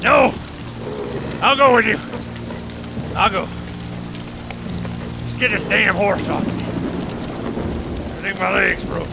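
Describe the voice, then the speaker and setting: An actor playing a cornered, injured frontier outlaw in a western audio drama, strained and pained. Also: male voice, sits high, animated, slow, gravelly, pauses often, over loud music, exaggerated voice. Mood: pained pleading.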